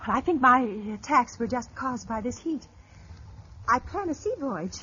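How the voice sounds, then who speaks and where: A woman's voice: sits high, lively intonation, normal speed, slightly rough, full character voice. An actress playing a tense, brittle woman tormented by noise, speaking precisely and dramatically in 1940s radio drama style. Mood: guarded politeness. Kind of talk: 1940s radio drama acting, first-person narration. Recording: muffled old radio recording, loud music.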